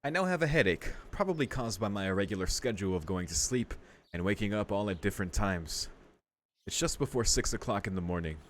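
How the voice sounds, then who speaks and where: A man's voice: fairly low pitch, animated, a little fast, slight background noise. Man reading a visual novel aloud. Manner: casual, reacting. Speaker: let's play host. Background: faint game music.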